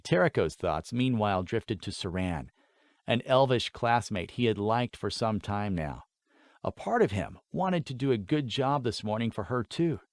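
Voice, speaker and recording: A man's voice: on the low side, animated, moderate pace, no room sound. A man reading scripted fantasy fiction as a dramatic audiobook narrator.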